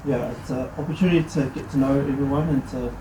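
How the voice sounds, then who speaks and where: Relaxed man from New Zealand. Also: mid-range voice, fast. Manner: relaxed, with many fillers. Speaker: community hub worker. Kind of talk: self-introduction. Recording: echoey, noisy hall.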